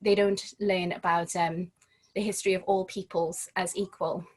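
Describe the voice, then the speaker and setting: A woman's voice: on the low side, level tone, normal speed. Thoughtful woman guest. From Trinidad and Tobago. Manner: thoughtful, restarts phrases often. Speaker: guest, home educator. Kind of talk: casual conversational interview.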